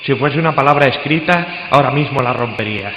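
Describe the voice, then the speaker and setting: A man's voice: medium pitch, a little fast, muffled recording, natural voice. A man playing a bold, earnest young lover with poetic, declamatory delivery in a classical radio play. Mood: ardent, earnest. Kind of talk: live radio play, classical romantic drama. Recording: noisy echoey hall with crickets.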